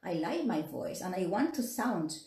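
A woman's voice: fairly low pitch, very animated, moderate pace, dark tone, reverberant. A woman in her forties from Peru, with a Peruvian Spanish accent, teaching English in a casual live stream with lots of fillers and tag questions. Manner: chatty, laughs often, many fillers and tag questions. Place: small room indoors.

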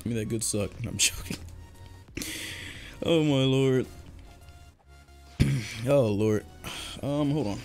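Young male streamer, mid-pitched, loose and jokey, voice worn from long talking, faint game music behind. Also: takes it slowly, long pauses, a little mellow. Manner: loose, joking, chatty with viewers, laughs often. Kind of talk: casual live-stream chat while gaming.

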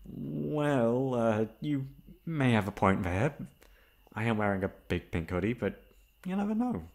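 A young man acting a shy, flustered, sweet-natured male classmate in an ASMR roleplay, speaking in a halting, stammering way. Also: medium pitch, sing-song, creaky, darkish tone. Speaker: voice actor. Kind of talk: ASMR roleplay acting, one-sided dialogue.

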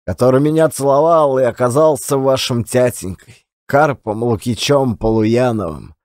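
A man's voice: mid-range voice, a little mellow, dry recording, studio quality, natural voice. An actor playing a garrulous, self-deprecating, folksy old-time Russian storyteller in a one-man audio play. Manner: colloquial, rambling, folksy.

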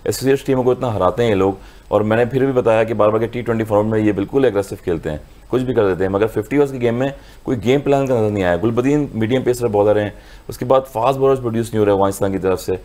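A man giving critical cricket analysis on a TV studio panel, in a brisk manner. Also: lowish voice, warm tone.

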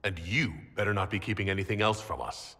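A man's voice: deep voice, fast, pushed and bright, full character voice. A man playing a commanding, level-headed leader in a video game, authoritative and reasoning. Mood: stern. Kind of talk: dramatic video game acting. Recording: long hall reverb.

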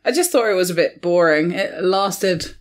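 A woman's voice: on the low side, normal speed. A British woman in her twenties chatting casually and bluntly as a guest on a film review podcast.

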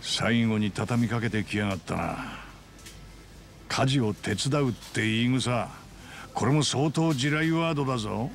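An actor playing a frank, worldly man who gives tough advice in rough, matter-of-fact speech in a game. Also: medium pitch, a little slow. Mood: grim, frank. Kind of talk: dramatic Japanese video game voice acting.

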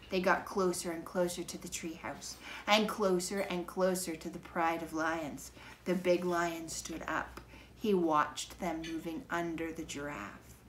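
A middle-aged woman narrating a children's book in a measured, dramatic way, recorded indoors by a camera a few feet away. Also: on the low side, a bit bright. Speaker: storybook reader. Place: living room, indoors. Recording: noisy living-room camera recording.

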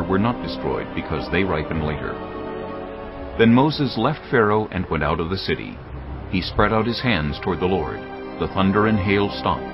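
A man with a very deep voice narrates scripture in a grave, measured reading. Clear music plays underneath, and the sound is a little dull.

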